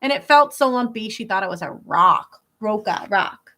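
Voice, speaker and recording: A woman teacher reading a picture book aloud to young pupils, pausing to explain words in simple terms. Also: medium pitch, lively intonation, sharp tone.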